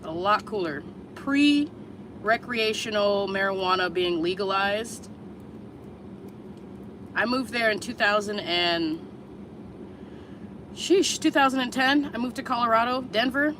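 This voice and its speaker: A woman's voice: medium pitch, lively intonation, background noise. Blunt, candid woman in her thirties. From Chicago area, USA. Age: 30s. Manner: candid, blunt, swears freely, unhurried. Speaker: vlogger answering viewers live. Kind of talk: casual livestream chat.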